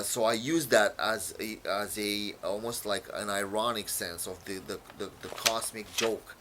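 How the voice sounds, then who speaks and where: A man from Romania, whose first language is Romanian, explains his own poem in a halting way, full of fillers and repeated words. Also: lowish voice, fairly bright tone, a little hiss or hum. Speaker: poet.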